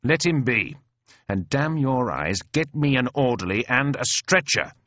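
A man's voice: a little high, huge pitch swings, measured pace, bright, forceful tone, no room sound, muffled recording, pitched above the performer's own voice, exaggerated voice. A man voicing an aristocratic British cavalry colonel who barks orders in a brusque, commanding way.

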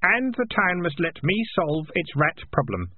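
A plucky storybook boy, voiced by a man, talks in short, earnest phrases. His voice is high and slightly rough, on a thin band-limited recording. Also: sing-song, measured pace, no echo, narrow, tinny audio, exaggerated voice. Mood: defiant. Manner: terse, earnest, stubborn. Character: plucky, plain-spoken orphan boy.

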